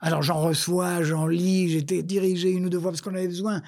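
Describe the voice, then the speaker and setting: A man's voice: high voice, level tone, a little fast, a little mellow. A man. He speaks as an academic being interviewed, wandering between anecdotes. He repeats phrases for emphasis and plays himself down. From France. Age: 70s. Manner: digressive, self-deprecating, emphatic repetitions. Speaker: interview guest, academic researcher.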